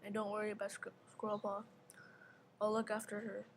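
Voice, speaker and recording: A woman's voice: medium pitch, monotone, brisk, lightly acted. A woman playing an earnest, bossy young warrior cat in readers theater. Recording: faint background noise, live ambience.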